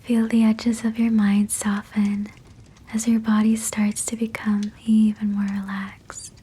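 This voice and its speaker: A woman guiding a sleep meditation in a breathy whisper, soothing and gentle, with measured phrasing. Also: medium pitch, completely flat delivery. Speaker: sleep hypnosis guide.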